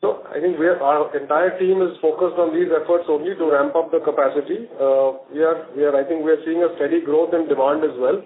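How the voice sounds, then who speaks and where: A man's voice: on the high side, fairly even intonation, fairly quick, hardly any pauses. A male company executive giving careful, hesitant answers to analysts on an earnings call. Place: conference phone call. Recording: roomy, narrowband phone line, faint noise.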